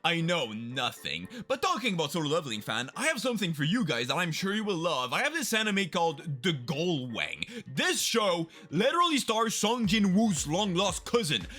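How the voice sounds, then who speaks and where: Animated, sarcastic young man. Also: high-pitched, normal speed, faint music behind. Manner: sarcastic, mock-dramatic. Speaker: YouTube host. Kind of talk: comedic anime season commentary.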